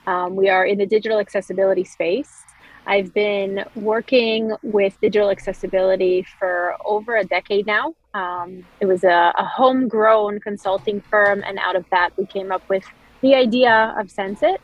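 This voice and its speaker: A woman's voice: mid-range voice, fairly even intonation, normal speed, no echo, lo-fi sound. A woman in her thirties from Israel, a startup founder telling her story in an interview over a video call, fluent with frequent ums and restarts. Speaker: startup founder and CEO, guest.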